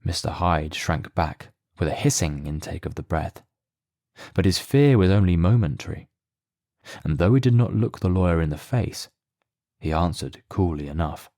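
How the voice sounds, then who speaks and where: Very deep, dark-toned male narrator. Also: animated, dry recording, studio quality. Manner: measured, literary. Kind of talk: scripted dramatic story narration.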